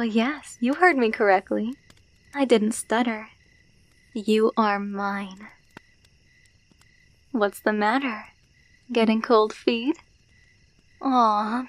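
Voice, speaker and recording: A menacing female alpha werewolf, acted by a woman in an ASMR roleplay, speaking very slowly in a fairly high voice. She is possessive and teasing, toying with her captive and slipping into whispers. The sound is close and dry. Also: no room sound. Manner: slow, teasing, domineering, dropping into whispers.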